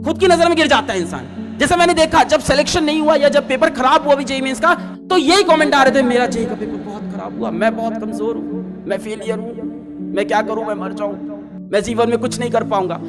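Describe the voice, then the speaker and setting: A woman's voice: on the high side, fairly quick. A woman giving a motivational lecture in a scolding manner, with rhetorical questions and repeated emphasis. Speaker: motivational teacher. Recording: loud music over the voice. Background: loud music.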